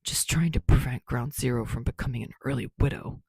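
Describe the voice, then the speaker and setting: A woman voicing a gruff, grumpy, foul-mouthed young man who scolds and growls in an audiobook story. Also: a bass voice, a little fast, darkish tone, dry recording, voice pushed down, heavily acted. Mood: dry scolding. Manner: scolding, growling, muttering curses.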